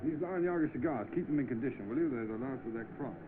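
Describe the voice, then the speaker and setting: An actor playing a rich, idle, determined English gentleman in a vintage British film, with polite, clipped upper-class speech. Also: mid-range voice, lively intonation, very fast, music in the background, close to an everyday voice. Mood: brisk, courteous. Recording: muffled, noisy old film soundtrack.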